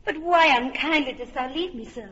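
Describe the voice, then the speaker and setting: A woman's voice: sits high, normal speed, fairly bright tone, long reverb tail, lo-fi sound, a little hiss or hum. An actress playing a bewildered, hurt young woman in a classic radio drama, puzzled and protesting.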